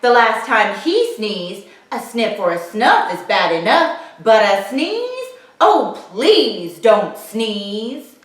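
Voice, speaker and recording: A woman voicing a big, gloomy male bear in a storybook, complaining slowly and mournfully. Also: fairly high pitch, big pitch swings, voice pushed down. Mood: pleading. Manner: slow, drawn-out complaining. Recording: very echoey room.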